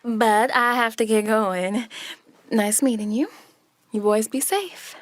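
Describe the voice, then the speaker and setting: A woman's voice: fairly high pitch, slow pace, no echo. A woman playing a caring young woman in a radio play, polite and frankly reproving. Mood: gently concerned.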